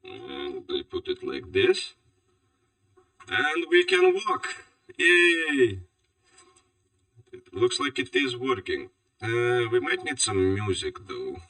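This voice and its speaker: Rambling husky man, far from the mic. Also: medium pitch, huge pitch swings, takes it slowly, pauses often, sharp tone. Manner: rambling, thinking aloud, asking himself questions. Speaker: streamer. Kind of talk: casual live-stream chat. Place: home, moving between PC and kitchen. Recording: distant, muffled home stream mic.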